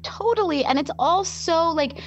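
Lively chatty woman with a lowered nasal voice. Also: moderate pace, slight background noise. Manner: chatty, animated, breaks into quoted impressions. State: low, slightly nasal voice from a cold. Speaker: podcast co-host. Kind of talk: casual chat recapping a TV show.

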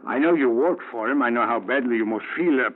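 A man's voice: medium pitch, quick pace, lightly acted. An actor playing a nosy, ingratiating man with hesitant, stumbling chatter in a 1950s radio drama. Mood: fawning, nosy. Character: chatty, fawning man fishing for show business work. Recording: narrow, noisy 1950s radio recording.

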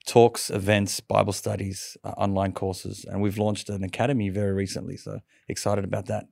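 A man's voice: fairly low pitch, completely flat delivery, moderate pace, vocal fry, a little mellow, dry recording, studio quality. A middle-aged man from Sydney with an Australian accent, telling his own story conversationally as an interview guest.

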